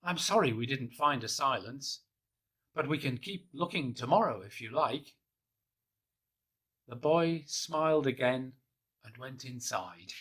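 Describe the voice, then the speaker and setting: A man gently reading a children's picture book aloud in the first person, in a soft, hushed and unhurried way. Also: mid-range voice, animated, breathy edge. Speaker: storybook reader.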